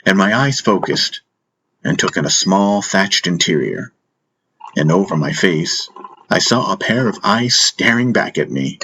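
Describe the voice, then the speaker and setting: Male audiobook narrator, low voice, raspy edge, solemn, dramatic fantasy storytelling. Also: expressive, measured pace, pushed and bright, rough recording. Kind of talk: scripted first-person fantasy narration.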